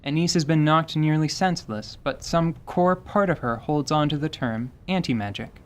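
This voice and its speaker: A man's voice: on the high side, normal speed. A man reading scripted narration for a fantasy audio drama.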